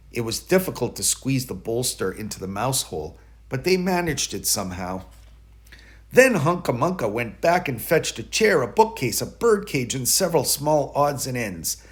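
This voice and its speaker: A man narrating a children's bedtime story in an expressive way, leaning dramatically on key words. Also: medium pitch. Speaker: storybook reader.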